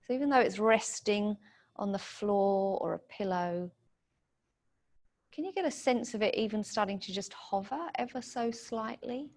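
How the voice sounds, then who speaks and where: A woman leads a guided meditation, giving soothing, gentle instructions in short phrases. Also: medium pitch, normal speed.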